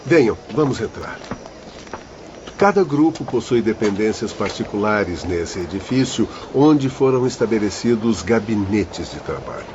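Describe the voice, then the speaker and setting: Actor as animated welcoming spirit guide. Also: male voice, fairly low pitch, a little slow. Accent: Brazilian. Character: welcoming male spirit guide, polite and instructive. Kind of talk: audio drama acting. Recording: muffled, clearly noisy.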